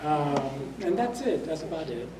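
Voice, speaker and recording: A man's voice: a little high, expressive, measured pace, mellow. Hesitant older man from Puerto Rico. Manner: hesitant, many fillers. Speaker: new member introducing himself. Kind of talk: casual self-introduction. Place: meeting hall. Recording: echoey hall, distant noisy mic.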